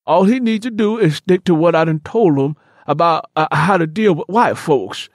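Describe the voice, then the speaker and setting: A man voicing a stammering country fellow in an audiobook, halting and folksy as he tries to reassure. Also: fairly high pitch, no room sound, clean studio sound, lightly acted. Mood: halting, reassuring. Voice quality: heavy stammer on first sounds. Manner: halting folksy Southern rural talk. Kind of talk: dramatised audiobook dialogue.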